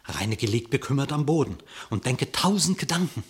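A man's voice: medium pitch, sing-song, moderate pace. A man narrating an epic animal fable in verse for a radio play, with dramatic, vivid storytelling.

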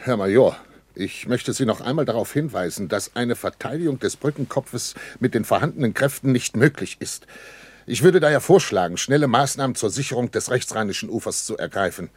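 A worried army captain in a 1960s radio war drama. His voice is middle-pitched, earnest and formal under pressure, with a dry studio sound. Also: lively intonation, fairly quick, breathy edge, dry recording. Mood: urgent. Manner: earnest, urgent, formal with superiors. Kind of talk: 1960s German radio play acting.

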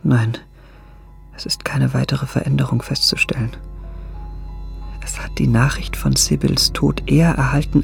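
An actor playing a sensitive, self-absorbed young gentleman in a German radio drama, murmuring and introspective. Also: mid-range voice, gravelly. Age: young adult.

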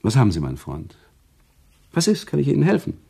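An actor playing a thoughtful, sympathetic storyteller who narrates within a German radio play, deliberate and expressive. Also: male voice, mid-range voice, gravelly, breathy edge, full character voice. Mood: engaged. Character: thoughtful, sympathetic male storyteller, the author himself.

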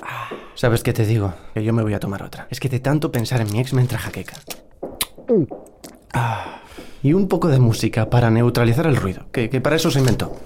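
An actor playing an excitable, tripping young-at-heart friend with paranoid, grand revelations in a comic Spanish radio drama, speaking theatrically with a Castilian accent. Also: male voice, mid-range voice, a little fast, slight background noise, natural voice. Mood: casual. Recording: music behind the voice.

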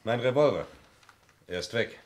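A man's voice: medium pitch, lively intonation, normal speed, slight background noise, close to an everyday voice. An actor playing a flustered, apologetic police sergeant in a 1960s German radio mystery, deferential to his superior.

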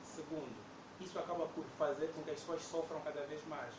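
A man's voice: a little high, brisk. A man with an Angolan Portuguese accent, speaking at a public debate with emphatic rhetorical questions. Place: debate hall indoors. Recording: echoey room with background noise.

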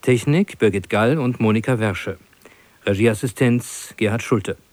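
A man announcing on the radio in a formal, scripted style with measured phrasing. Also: fairly low pitch, darkish tone, no echo. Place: dry broadcast studio.

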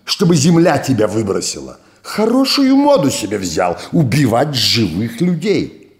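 An older man voicing a rich old merchant who shouts in outrage. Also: medium pitch, huge pitch swings, moderate pace, pitched above the performer's own voice. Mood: furious. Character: rich old male merchant, furious and shrill.

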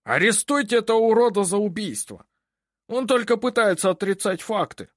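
A man's voice: fairly high pitch, very animated, a little slow, a bit bright, no echo, studio quality, voice pushed up, heavily acted. A man voicing a haughty, furious older male judge in an audiobook, barking and blustering. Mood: raging.

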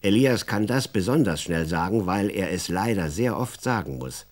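A man's voice: low-pitched, lively intonation, moderate pace. A man narrating a scripted children's story, addressing his young listeners directly as a storyteller.

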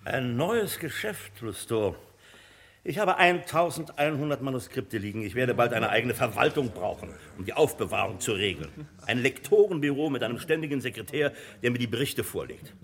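A bossy cynical book publisher in a radio play, voice jumps all over, bit rough, talks down to everyone. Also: male voice, mid-range voice, lively intonation, moderate pace. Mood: cynical, grandiose. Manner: condescending, blustering. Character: imperious, cynical, boastful male publisher and bookseller. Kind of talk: German radio drama acting.

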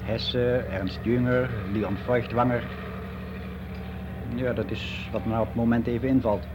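A man's voice: medium pitch, steady tone, a little slow, natural voice. Actor as lonely older man, noisy recording. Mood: wistful, reflective. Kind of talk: naturalistic radio drama monologue, confessional. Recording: very noisy radio play recording.

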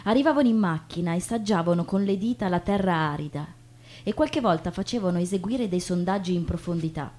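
A woman reading literary prose as a stage performer, with deliberate, emphatic phrasing. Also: lowish voice, a little mellow. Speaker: stage reader.

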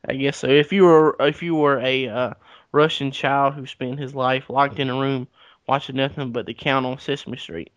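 Man, podcast co-host, deadpan dry humor, mid pitch, close dry sound. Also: normal speed, no echo. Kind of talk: casual banter. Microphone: podcast mic.

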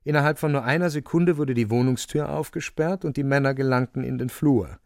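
A man narrates a literary radio play at a mid pitch, very close and dry. His storytelling is measured and precise. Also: vocal fry, no room sound. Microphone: close studio microphone.

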